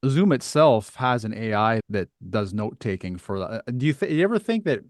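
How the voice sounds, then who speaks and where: A man's voice: lowish voice, big pitch swings, brisk, no echo, studio quality. A man hosting a casual interview, thinking aloud and phrasing his questions tentatively. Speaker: podcast host, interviewer.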